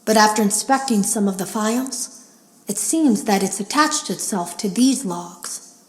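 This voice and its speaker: An actress playing an uneasy female game tester, confiding in a measured, serious way in scripted audio logs for a horror game. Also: medium pitch, reverberant, close to an everyday voice. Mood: grave, resolute.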